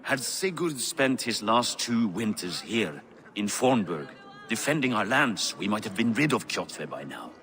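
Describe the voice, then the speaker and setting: Stern, deep-toned Viking king, swooping delivery. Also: sing-song, measured pace, fairly bright tone, heavily acted. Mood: grim, stern. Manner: stern, weighty. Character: grim, doubting old Viking king. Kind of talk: dramatic game cutscene dialogue.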